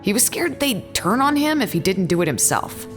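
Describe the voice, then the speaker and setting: A woman's voice: medium pitch, big pitch swings, moderate pace, over music. A woman acting as a blunt, sardonic makeshift bartender in a casual, naturalistic audio drama. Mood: matter-of-fact. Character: blunt, sardonic female colleague tending a makeshift bar.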